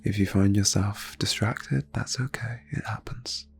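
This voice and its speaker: A man leading an ASMR guided meditation in a soft, breathy near-whisper, phrasing slowly and soothingly with long pauses. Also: lowish voice, fairly even intonation. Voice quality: breathy, hushed.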